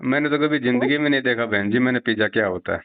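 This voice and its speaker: A man's voice: medium pitch, rapid-fire. A middle-aged man, explaining things respectfully and reassuringly in conversation. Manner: respectful, repeatedly addresses the listener. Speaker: religious devotee, video preacher. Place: phone call. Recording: tinny, narrow phone line.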